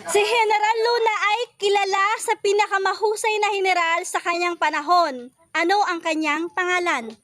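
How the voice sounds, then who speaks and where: A woman's voice: very high voice, steady tone, moderate pace, a bit bright, slight background noise. A woman with a Filipino accent hosting a quiz, reading out trivia questions in set formal wording. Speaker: quiz host.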